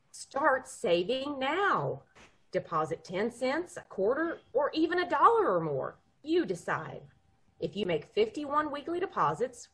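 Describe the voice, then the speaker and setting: A woman performs a reassuring spokeswoman in an old-time radio commercial. Her voice is husky and highly animated, with a folksy sales manner. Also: exaggerated voice. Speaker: commercial announcer. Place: lamp-lit living room at home. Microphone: video call.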